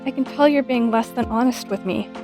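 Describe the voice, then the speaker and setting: A woman's voice: fairly high pitch, brisk, music in the background, lightly acted. A woman playing a devoted, eager young sweetheart in a romantic audio drama, sincere and affectionate. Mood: reassuring, loving.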